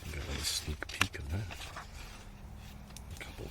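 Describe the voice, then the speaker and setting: A man giving a quiet, rambling ASMR show-and-tell, murmuring unhurriedly and drifting in and out of a whisper. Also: very deep voice, a little slow. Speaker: ASMR video host. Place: outdoors in a gentle breeze. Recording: clear outdoor ambient noise. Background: outdoor ambience, breeze.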